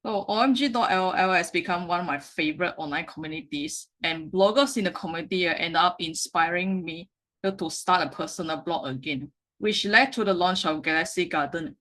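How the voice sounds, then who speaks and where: Halting woman presenting slides. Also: fairly low pitch, fairly bright tone. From Malaysia. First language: Mandarin Chinese. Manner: halting, frequent self-corrections. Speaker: meetup speaker.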